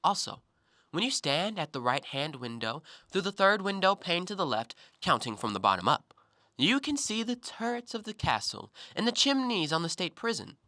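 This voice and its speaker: An actor playing a blunt, self-assured, observant intellectual in an audio play, speaking in an emphatic, accusing way. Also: male voice, high-pitched, very animated, moderate pace, bright, forceful tone, dry recording, studio quality, exaggerated voice. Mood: probing, triumphant. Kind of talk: stage-play dialogue in an audio drama.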